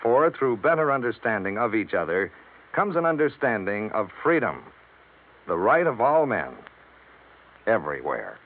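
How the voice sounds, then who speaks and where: A man reading a scripted mid-century radio public service message with earnest, measured oratory. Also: fairly low pitch, big pitch swings. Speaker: radio announcer. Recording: old radio, narrow tinny band.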